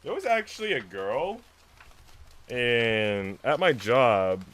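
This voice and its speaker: A man's voice: mid-range voice, big pitch swings, slow, a bit bright. A man on a live stream, reading visual novel text aloud and chatting casually with viewers. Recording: noisy mic with typing sounds.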